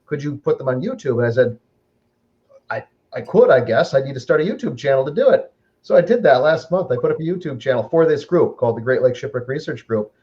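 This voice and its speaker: A man's voice: mid-range voice, animated, fast talker, dark tone. A man hosting a live online interview show, chatting easily and reading out viewer questions with plenty of ums. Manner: easygoing, chatty, lots of um fillers. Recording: muffled, slightly lo-fi audio.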